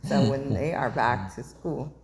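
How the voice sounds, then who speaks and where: A woman's voice: extremely low pitch, lively intonation, unhurried, darkish tone. A woman interviewer chatting casually with her guest, asking questions and sharing remarks. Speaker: interviewer, channel host.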